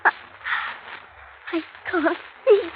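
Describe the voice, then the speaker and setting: Woman as entranced servant boy, very high voice, frightened, pleading, 1950s radio drama, muffled noisy recording. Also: animated, drawn-out words, exaggerated voice. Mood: distressed trance. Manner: pleading, trance-like.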